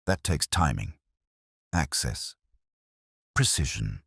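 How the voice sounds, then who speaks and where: A man voicing a calm, steady male police inspector who asks probing questions quietly. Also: very deep voice, slow pace, vocal fry, slightly rough, no echo, studio quality, full character voice.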